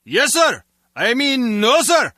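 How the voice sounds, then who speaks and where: A man's voice: very high-pitched, very animated, slow pace, sharp tone, dry recording, clean studio sound, heavily acted. An actor playing a nervous, bumbling male henchman, flustered and eager to please. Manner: flustered, subservient.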